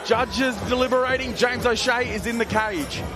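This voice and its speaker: A man giving excitable live play-by-play commentary on a cage fight, often shouting. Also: very high-pitched, normal speed. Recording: loud crowd and music behind.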